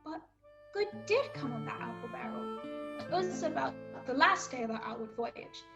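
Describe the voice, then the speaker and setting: A woman as Jim Hawkins, high-voiced boy narrator over music. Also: expressive, normal speed, gravelly. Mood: earnest, storytelling. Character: earnest young cabin boy Jim Hawkins, telling his tale. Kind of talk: scripted first-person radio play narration.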